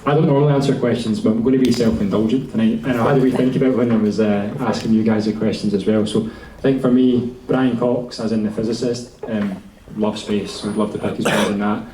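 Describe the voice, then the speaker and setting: A man's voice: lowish voice, fairly even intonation, fast, dark tone, reverberant, recorded from a distance, slight background noise, low-quality recording. A man moderating a panel in a breezy, joking way.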